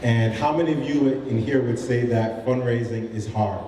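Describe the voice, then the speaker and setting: A man who founded a startup gives an informal pitch talk to a live audience, with many fillers and restarts. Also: normal speed, dark tone, a little hiss or hum. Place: event room with audience. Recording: roomy hall, mic from a distance.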